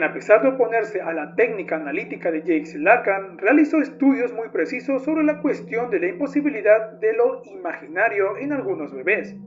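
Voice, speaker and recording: A man narrates from a script in a notably high-pitched voice. The recording is dull and lo-fi, with music playing behind the words.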